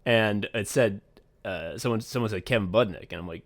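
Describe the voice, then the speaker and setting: A man's voice: fairly low pitch, brisk. A young man co-hosting a casual podcast, rambling loosely, laughing often and swearing freely. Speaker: podcast co-host.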